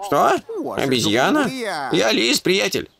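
An actor playing a blunt, irritable fox in a cartoon, sardonic and exasperated with others. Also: male voice, sits high, wildly swooping pitch, slow, gravelly, noisy background, heavily acted. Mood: indignant. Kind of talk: animated cartoon dialogue. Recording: loud music under the voice.

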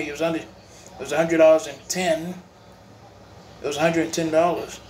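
A man doing a casual home review vlog, rambling and restarting his phrases as he films on a handheld phone. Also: a little high. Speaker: cigar vlogger. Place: home room with bare walls. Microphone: phone held while filming. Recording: echoey room, faint room noise.

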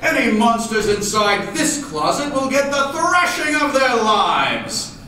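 A man's voice: very high-pitched, big pitch swings, slow pace, bright, forceful tone, voice pushed up, exaggerated voice. A man voicing a playfully pompous, jokey father reassuring his child in a storybook reading. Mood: playful bravado. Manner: playfully pompous, hearty bravado. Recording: roomy, noisy hall, distant mic.

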